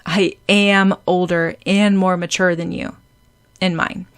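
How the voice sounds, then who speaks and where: A woman voicing a cold, sharp-tongued teenage schoolboy who talks down to others. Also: lowish voice, flat delivery, takes it slowly. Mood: condescending.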